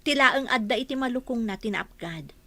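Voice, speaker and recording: A woman's voice: a little high, expressive, fairly quick, pushed and bright, full character voice. A woman acting a dutiful young household cook who stands up for herself, in an Ilocano radio comedy drama. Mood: earnest pleading.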